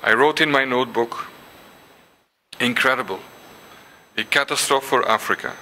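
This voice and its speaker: An older man whose first language is Dutch gives a symposium lecture, pausing often with ums and restarts and reading passages aloud. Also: mid-range voice, pushed and bright, slight background noise. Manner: hesitant, many ums and restarts. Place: lecture hall.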